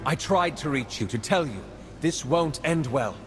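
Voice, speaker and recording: An actor playing an earnest, guarded intelligence agent in a video game, grave and intent. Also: male voice, a little high, lively intonation, normal speed, close to an everyday voice. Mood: grave, worried. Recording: long hall reverb, music behind.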